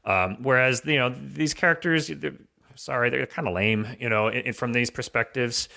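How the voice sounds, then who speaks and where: A man with a sharp, bright voice at an average pitch talks casually as a podcast guest. He explains deliberately, often repeating how a sentence begins. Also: gravelly. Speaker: audio drama creator, podcast guest.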